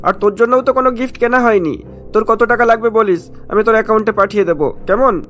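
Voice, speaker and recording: A man voicing an impatient, spoiled rich younger brother in a cartoon, hurried and dismissive, with music behind. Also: high voice, a bit bright. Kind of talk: cartoon story acting.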